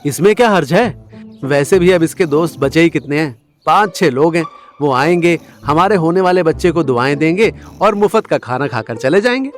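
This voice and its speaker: A man's voice: on the high side, lively intonation, normal speed, faint music behind, lightly acted. A man playing an affectionate, soothing husband in an audio drama.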